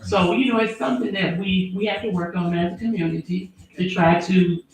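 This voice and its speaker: A woman attorney, answering interview questions in an earnest, measured way with frequent fillers. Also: lowish voice, fairly even intonation. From Alabama, US. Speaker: attorney and former prosecutor. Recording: very echoey room.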